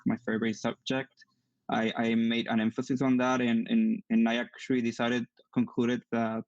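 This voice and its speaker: A man's voice: mid-range voice, a little mellow, no echo, muffled recording. Young man with a strong Mexican accent. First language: Spanish. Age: young adult. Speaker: guest, engineering student. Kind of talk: online interview.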